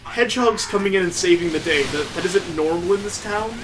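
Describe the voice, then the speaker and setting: A man's voice: sits high, fairly quick, a bit bright, soft background music. A man giving casual, joking commentary as he plays a video game, with a sarcastic, mocking way of talking. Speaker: let's play host playing the game.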